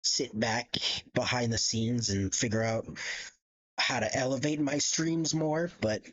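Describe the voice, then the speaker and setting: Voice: medium pitch, measured pace, lo-fi sound. A live streamer chatting casually in a rambling, giggly way and swearing freely. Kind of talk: casual livestream chat.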